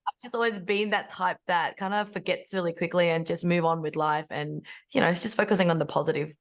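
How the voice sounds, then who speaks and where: A woman in her forties, born in Vietnam and raised in Australia, telling her story chattily and brightly as a podcast guest. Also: on the low side, brisk. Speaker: guest, business founder. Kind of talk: podcast interview.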